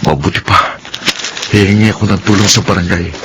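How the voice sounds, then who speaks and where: A man acting as a timid, honest, poor young Filipino man in a radio drama. He speaks earnestly in a lowish voice, with clear background noise around him. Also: a little fast, close to an everyday voice. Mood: puzzled, nervous. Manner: earnest, self-effacing.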